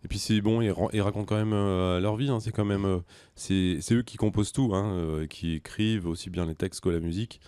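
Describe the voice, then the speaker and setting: A man with a very low, mellow voice. He answers interview questions conversationally, feeling his way haltingly through explanations, in a dry recording. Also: steady tone, clear, resonant tone, no room sound. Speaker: music conservatory director, interview guest.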